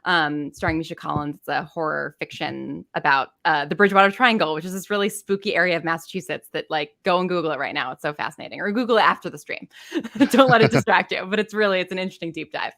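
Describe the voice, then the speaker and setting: Low-voiced, chatty woman on a video call. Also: fast, vocal fry, a bit bright. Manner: chatty, enthusiastic, frequent fillers. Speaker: podcast guest, fiction writer. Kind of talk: casual interview chat.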